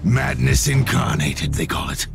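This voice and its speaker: A man's voice: medium pitch, big pitch swings, a little slow, vocal fry, over loud music, exaggerated voice. A man voicing a grim, zealous, battle-hardened space marine sergeant in a video game, with a sardonic, grandiose delivery.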